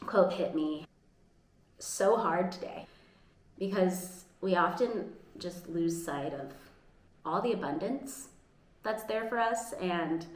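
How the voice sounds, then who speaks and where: An American woman vlogging casually at home, earnest and upbeat, sometimes restarting her sentences. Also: lowish voice. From United States. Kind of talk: casual vlog talk. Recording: echoey room with faint ambience.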